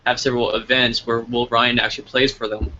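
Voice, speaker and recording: A man hosting a casual interview, phrasing his thoughts in halting pieces. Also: medium pitch, little pitch movement, bright, forceful tone. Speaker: podcast interviewer, host. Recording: noisy lo-fi online call audio.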